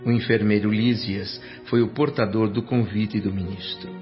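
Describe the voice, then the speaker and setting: A man's voice: fairly low pitch, steady tone, moderate pace, lightly acted. An actor with a Brazilian accent playing an earnest, grateful spirit who narrates his own story in a radio drama, reverent and literary in manner. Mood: reflective narration. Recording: dull radio recording, faint music.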